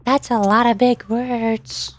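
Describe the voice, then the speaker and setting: A woman's voice: fairly high pitch, steady tone, slow, no room sound. A woman streamer chatting casually and reading game text aloud in a playful, rambling way. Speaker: VTuber streamer.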